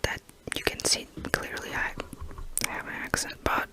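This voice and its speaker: A woman with a Croatian accent whispering ASMR, chatty and a bit hesitant, with light giggles. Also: moderate pace. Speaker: ASMR collab guest.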